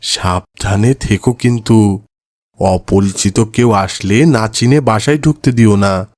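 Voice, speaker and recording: A man's voice: fairly low pitch, slow pace, a little mellow, no room sound. A man voicing a kindly, good-humoured old grandfather in a cartoon moral story. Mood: caring.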